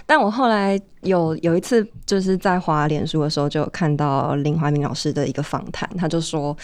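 A woman with a Taiwanese Mandarin accent telling stories in a casual, reflective chat, thoughtful and unhurried. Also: sits low, a little mellow. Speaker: stage actor, podcast guest.

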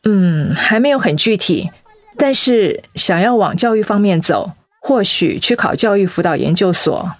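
An actress with a Taiwanese accent playing a principled young volunteer in a radio drama, thoughtful, resolute and articulate. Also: female voice, medium pitch, lively intonation, unhurried, no echo. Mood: serious, earnest. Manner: earnest, articulate. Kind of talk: Mandarin radio drama acting.

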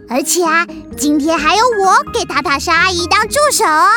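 A woman's voice: very high voice, unhurried, over music. A woman voicing a sweet, eager little witch girl with a childlike voice in a children's audio drama. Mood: cheerful, eager. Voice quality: childlike, cutesy.

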